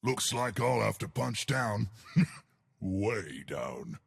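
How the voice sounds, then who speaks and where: An actor voicing a huge, friendly, blustery stone gargoyle in a video game, with boastful, simple wording and a gravelly voice. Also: male voice, lowish voice, very animated, very slow, full character voice. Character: huge friendly stone gargoyle colossus, blustery, dim, big-hearted protector. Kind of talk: video game character voice lines.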